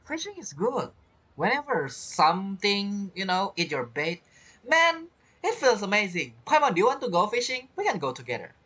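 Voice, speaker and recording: Bright, animated young male game streamer. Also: high voice, normal speed. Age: young adult. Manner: chatty, playful, reacts to the characters. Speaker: gaming streamer. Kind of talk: casual game playthrough commentary.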